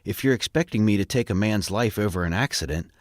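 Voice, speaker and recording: An earnest, principled man, played by a male actor in an audio drama. His voice is fairly deep, with a dry, close sound. He reasons patiently in measured, formal sentences. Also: lively intonation, no echo, lightly acted. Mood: earnest, subdued.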